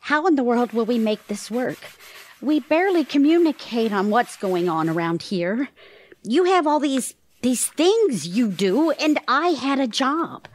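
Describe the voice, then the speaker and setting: Woman playing an exasperated older wife, very animated. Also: on the high side, measured pace. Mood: dejected. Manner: indignant, sharp-tongued. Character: exasperated, newly retired career woman and wife. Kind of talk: audio comedy acting.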